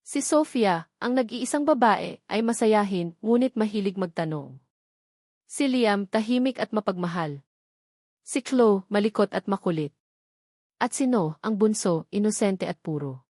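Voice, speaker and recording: A woman narrates a scripted first-person love story in an emotive, melodramatic way with deliberate phrasing. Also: medium pitch, expressive, a little mellow, no echo, clean studio sound. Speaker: audio drama narrator.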